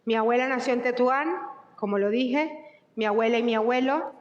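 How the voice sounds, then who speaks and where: A woman's voice: a little high, normal speed. A middle-aged woman with a Venezuelan accent answering questions informally at a cooking workshop. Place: large echoey indoor room. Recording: echoey hall, muffled sound.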